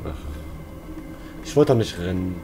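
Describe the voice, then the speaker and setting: A man's voice: low voice, animated, measured pace, over music. A man giving casual, colloquial, joking commentary as he plays a horror game, talking to himself and his viewers. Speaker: let's player.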